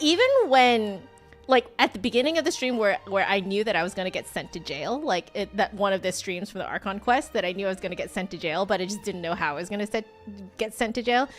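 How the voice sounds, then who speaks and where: A woman's voice: mid-range voice, a bit bright. A woman giving casual live game commentary as a streamer, chatty and rambling. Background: game music.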